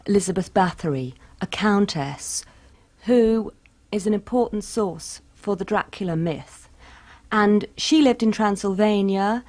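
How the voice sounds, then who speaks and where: Brief: female academic, mellow tone, measured storytelling explanation. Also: medium pitch. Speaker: lecturer in women's studies. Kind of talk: expert interview commentary.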